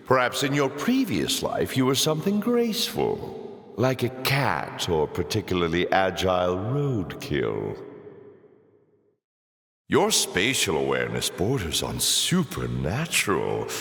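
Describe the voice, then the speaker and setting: A man playing a suave, mocking demon lord in a video game, with a silky, pompous, sardonic delivery and drawn-out teasing. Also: low voice, fairly bright tone, full character voice. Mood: condescending, dry. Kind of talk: theatrical video game villain voice acting. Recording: echoing, cavernous reverb.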